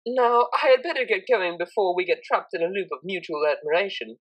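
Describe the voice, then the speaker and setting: A woman's voice: mid-range voice, a little fast, muffled recording, close to an everyday voice. A woman voicing a refined young mare cellist in a story reading, polite and earnest, with formal, precise wording. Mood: polite, grateful.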